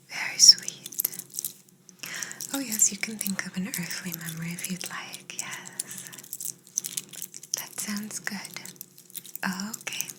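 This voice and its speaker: Woman doing a whispery alien lady for asmr, slow and soothing, curious about humans, bit teasing, lots of gentle reassurance. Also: medium pitch, lightly acted, poor audio.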